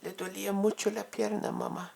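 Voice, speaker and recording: A woman voicing a kind, worried young ankylosaur in an audio drama, speaking gently and softly. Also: mid-range voice, flat delivery, quick pace, gravelly, husky. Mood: concerned. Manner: gentle, hushed, mixes in Spanish.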